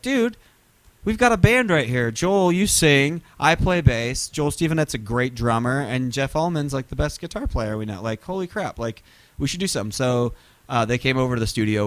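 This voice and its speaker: A man's voice: medium pitch, no echo. A man chatting casually as a musician guest, telling rambling, slangy anecdotes that he often interrupts himself. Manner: halting, self-interrupting, slangy.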